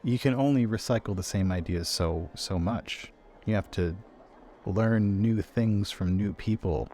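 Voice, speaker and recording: Man as a low-voiced, stubborn young academic. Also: dark tone. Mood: firm, earnest. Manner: measured, pausing mid-sentence. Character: restless, principled young male academic, politely stubborn. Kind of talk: improvised tabletop roleplay.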